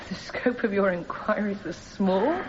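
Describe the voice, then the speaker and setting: Radio courtroom drama: actress as woman cross-examiner, medium pitch, sceptical, formal, slightly muffled with faint noise. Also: animated, unhurried, lightly acted. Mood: challenging. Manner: formal, challenging. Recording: slightly dull, noisy radio recording.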